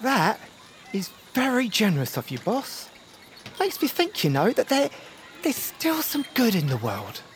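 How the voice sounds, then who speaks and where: Good-hearted dimwit lackey: plain-spoken, naive, lively inflection, comedy radio play. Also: female voice, a little high, unhurried, slight background noise. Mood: touched. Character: slow-witted, good-hearted henchperson to a villain. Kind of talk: comic audio drama acting.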